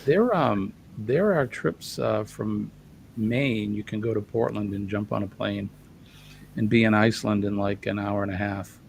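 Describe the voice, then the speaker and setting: Low gravelly man. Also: a little hiss or hum. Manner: easygoing, hesitant, many pauses. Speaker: co-host. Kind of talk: casual livestream chat.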